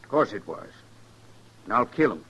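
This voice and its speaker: An actor playing a proud, vengeful older rancher with a rural Western accent, gruff and defiant, in 1950s radio western style. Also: male voice, fairly low pitch, sing-song, moderate pace, fairly bright tone, exaggerated voice. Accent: rural American Western. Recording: dull vintage 1950s radio recording.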